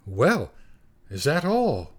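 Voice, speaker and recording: A man's voice: on the high side, huge pitch swings, extremely slow, pitched above the performer's own voice, full character voice. Storybook cellist, read by a man: petulant, blunt, puzzled, ordinary voice.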